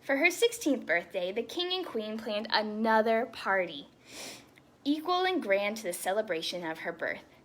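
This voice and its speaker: A woman reading a story aloud to children as a storytime host, expressive and breaking into chatty asides. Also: mid-range voice, normal speed. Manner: animated, with chatty asides to young listeners.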